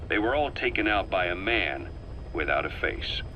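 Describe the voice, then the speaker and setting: An actor playing a grave middle-aged intelligence operative in a video game, delivering a measured, deliberate, serious briefing. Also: male voice, deep voice, expressive, bright, forceful tone, slight background noise, rough recording. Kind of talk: dramatic scripted briefing narration.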